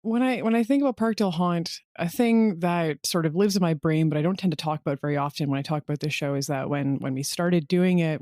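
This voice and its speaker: A woman's voice: sits low, darkish tone, no room sound, studio quality. A woman chatting casually and candidly, in an informal, emphatic way. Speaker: podcast co-creator, writer. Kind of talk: casual chat.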